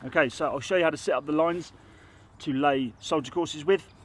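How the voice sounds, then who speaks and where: Chatty bricklayer with a sore throat. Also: male voice, a little high, a little fast, fairly bright tone. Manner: chatty, rambling, self-admittedly waffles. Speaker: bricklayer teaching on his channel. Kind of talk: casual how-to tutorial.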